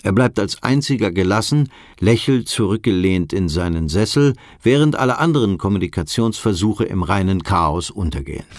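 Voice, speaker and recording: An actor playing a sober documentary narrator in a radio drama, reading scripted reports in a measured, factual manner. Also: male voice, low voice, animated, dry recording, studio quality.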